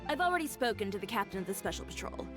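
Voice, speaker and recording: Actress as composed, confident fashion designer over music. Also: female voice, medium pitch, sing-song, racing, lightly acted. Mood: matter-of-fact. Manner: composed, assured, businesslike. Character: confident, dry-witted female fashion designer and boutique owner. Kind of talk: English video game voice acting. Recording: game dialogue over clear background music.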